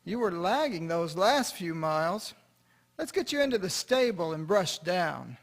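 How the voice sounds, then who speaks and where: A man's voice: high-pitched, big pitch swings, measured pace, exaggerated voice. A man playing a kindly, chatty farmer who talks fondly to his horse in a radio drama. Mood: affectionate. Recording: noisy, with clear foley sounds behind.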